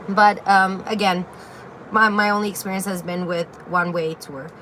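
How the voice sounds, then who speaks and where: Young woman from Syria, mid-pitched, chatty livestream host reading comments, phone mic outdoors with light street noise. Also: flat delivery, a little slow, a bit bright, rough recording. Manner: chatty, reads viewer comments aloud, many fillers. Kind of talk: casual livestream Q&A. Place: outdoor balcony with greenery. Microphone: phone camera at arm's length.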